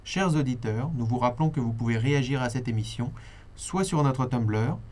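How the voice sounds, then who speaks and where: A man's voice: medium pitch, normal speed, a little mellow. Male radio presenter, mid-range voice, reading scripted event announcements. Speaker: radio host.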